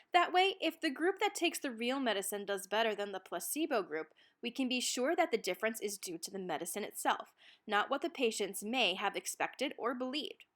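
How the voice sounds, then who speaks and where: A woman narrates a children's picture book in a fairly high, clearly bright voice. She reads expressively, leaning on key phrases with dramatic, deliberate emphasis. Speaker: read-aloud narrator.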